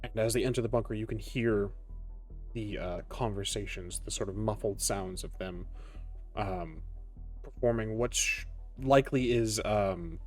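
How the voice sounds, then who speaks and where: A man improvising narration as game master of a tabletop roleplaying game, often pausing mid-sentence. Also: lowish voice. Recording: music behind the voice. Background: background music.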